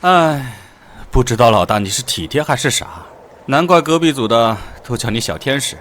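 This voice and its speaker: A male actor voicing a wry, grumbling police sidekick with a sarcastic manner in an animated drama. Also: mid-range voice, normal speed, a little hiss or hum, close to an everyday voice.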